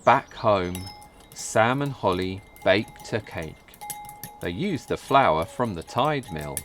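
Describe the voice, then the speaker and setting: A man reading a children's story aloud in a measured, careful delivery. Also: on the low side, expressive, fairly bright tone, slight background noise. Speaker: storybook reader. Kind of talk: scripted children's story narration.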